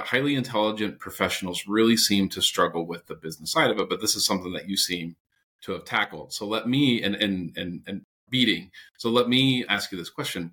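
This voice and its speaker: A man's voice: fairly low pitch, bright, forceful tone. A man hosting a dental podcast, chatty and enthusiastic as he interviews a guest. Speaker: podcast host.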